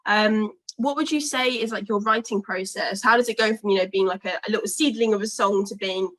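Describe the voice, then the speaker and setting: A woman's voice: medium pitch, fairly quick, a bit bright. A young British woman interviewing a music duo, asking long chatty questions full of fillers.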